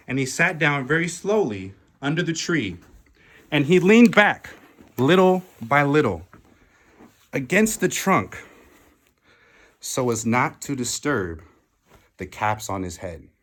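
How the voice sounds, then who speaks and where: A man reading a children's picture book aloud in an expressive, deliberate way with dramatic pauses. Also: mid-range voice. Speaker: storybook reader.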